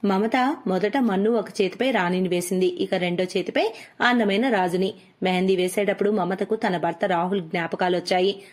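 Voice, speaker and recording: A woman with a medium-pitched voice narrates a children's tale. She reads from a script as the storyteller of an animated moral story. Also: normal speed.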